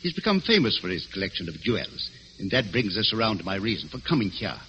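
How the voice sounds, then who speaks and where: An actor playing an eager, evasive gentleman client in a 1940s radio drama, formal and hesitant in manner. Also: lowish voice, sing-song, fast talker. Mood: earnest, uneasy. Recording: dull, noisy vintage radio recording.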